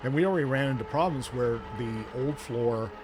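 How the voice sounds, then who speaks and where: Male game streamer, middle-aged, medium pitch, informal conversational delivery over heavy steady noise. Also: normal speed. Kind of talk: casual chat while live streaming a game. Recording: loud steady background noise.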